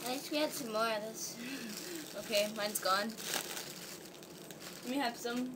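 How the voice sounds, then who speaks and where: Brief: young woman, high lively voice, giggly and goofy, heard from a distance on a noisy camera mic. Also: unhurried. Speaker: home video maker. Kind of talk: giggly casual home video.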